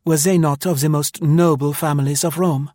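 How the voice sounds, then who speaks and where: A man's voice: fairly high pitch, fairly even intonation, moderate pace, a little mellow, dry recording, studio quality, pitched above the performer's own voice, natural voice. A man voicing an imperious, passionate young female spy, speaking with heightened emphasis.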